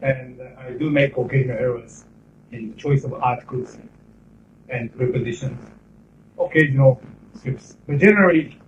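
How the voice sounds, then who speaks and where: A middle-aged man with a Japanese accent, lecturing a class in English about interpreting in a measured, explanatory way with pauses between phrases. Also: medium pitch, a little hiss or hum. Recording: roomy, distant, dull 1990s classroom tape.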